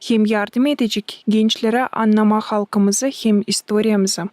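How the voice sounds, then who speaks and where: A woman voicing a patient mother who tells history to children in an animated cartoon, in a measured storytelling style. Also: medium pitch, no echo, natural voice. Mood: patient, instructive.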